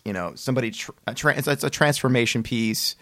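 A man's voice: medium pitch, fast, a bit bright, no echo, clean studio sound. A man chatting casually as a podcast host interviewing a guest.

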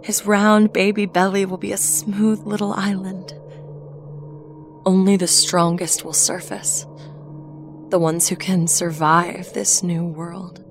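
A woman reading a horror story aloud in a slow, hushed, even way. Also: on the low side, soft background music. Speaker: narrator. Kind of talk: scripted horror narration.

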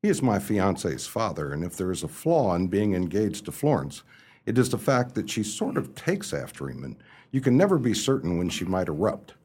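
An actor playing an amiable, muddled, well-off young English gentleman in a radio play, narrating in a chatty, theatrical upper-class way. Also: low voice, big pitch swings, normal speed, slightly rough, heavily acted. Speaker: narrator and lead character.

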